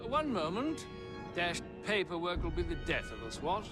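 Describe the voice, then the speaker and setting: Pompous, high-pitched English earl. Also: male voice, very animated, normal speed. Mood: blustering. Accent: upper-class English. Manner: pompous, theatrical declaiming. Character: pompous upper-class Victorian cavalry earl, grandiose, blustering. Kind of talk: video game cutscene acting. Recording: music behind the voice.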